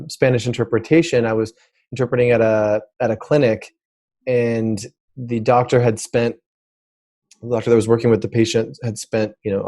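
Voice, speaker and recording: A man of middle pitch hosts a podcast interview and slips into a relaxed anecdote. He talks casually, with small restarts and "you know" along the way. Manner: casual storytelling, affirming with short backchannels. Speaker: podcast host. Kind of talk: conversational interview.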